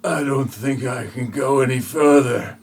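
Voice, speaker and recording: A man playing a weary, frightened young female runaway with a husky, whining delivery in an amateur parody audio play. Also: mid-range voice, measured pace. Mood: exhausted.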